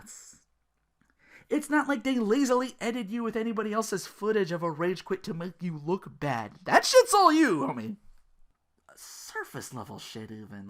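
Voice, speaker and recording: Animated, sarcastic podcast ranter with swooping pitch. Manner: animated, exasperated, sarcastic, swearing. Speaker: podcast host. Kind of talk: casual ranting commentary about an internet personality.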